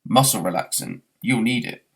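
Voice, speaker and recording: A man's voice: mid-range voice, lively intonation, normal speed, gravelly, fairly bright tone. A man voicing a brusque, unflappable male space hitchhiker in a storybook, curt and matter-of-fact.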